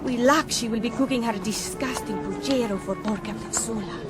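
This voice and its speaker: A woman's voice: fairly high pitch, fairly quick, loud music behind the voice, lightly acted. An actress playing an earnest, naive young Spanish woman in a radio drama, devout and a little breathless. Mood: anxious, flustered. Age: young adult.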